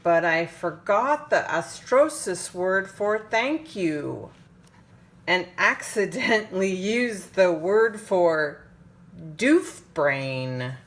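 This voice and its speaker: Slow, animated woman reading a storybook. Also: on the low side. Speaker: parent reading a picture book aloud. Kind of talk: animated children's storybook read-aloud.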